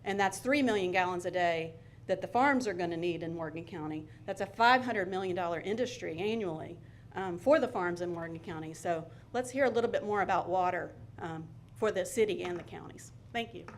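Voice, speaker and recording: A woman's voice: fairly low pitch, fairly quick, slight background noise. A woman from Georgia making an earnest, slightly rambling public comment at a city council hearing, with frequent ums. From Georgia, USA. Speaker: resident giving public comment.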